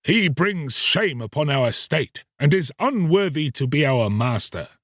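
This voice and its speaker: Man as a haughty, high-pitched nobleman. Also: expressive, measured pace, fairly bright tone, no room sound, clean studio sound. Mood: indignant. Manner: indignant, archaic speech. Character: haughty, scornful court nobles.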